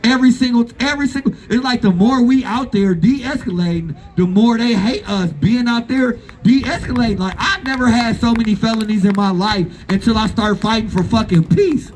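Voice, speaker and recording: A man's voice: very high voice, normal speed, hardly any pauses. A man in his thirties giving a blunt, profane and impassioned speech at an outdoor rally, repeating phrases for emphasis. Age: 30s. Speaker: activist speaker at a rally. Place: outdoor gathering.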